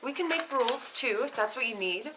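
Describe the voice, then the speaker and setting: A woman's voice: on the high side, animated, brisk, very noisy, natural voice. A woman reading the part of a reckless, defiant and clingy woman in a naturalistic script table read. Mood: pleading. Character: Penny, reckless, defiant, clingy wounded woman partner-in-crime.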